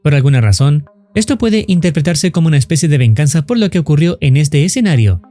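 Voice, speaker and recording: A man's voice: on the high side, expressive, quick pace, warm tone, slight background noise. A man reading scripted narration for a horror explainer video.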